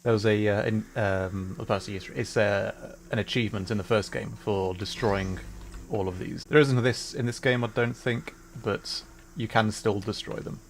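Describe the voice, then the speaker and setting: A man casually commenting as he plays a game, relaxed and chuckling, explaining the mechanics to viewers. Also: on the low side, moderate pace, vocal fry, slight background noise. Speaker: streamer.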